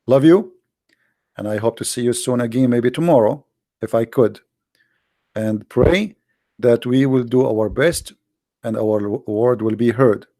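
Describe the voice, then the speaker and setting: Man, gravelly voice, dry close sound, blunt emphatic livestream host. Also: medium pitch, a little slow, pauses often, warm tone, dry recording. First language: Arabic. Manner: blunt, emphatic, preaching to viewers. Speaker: host of a daily online broadcast. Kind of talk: livestream monologue.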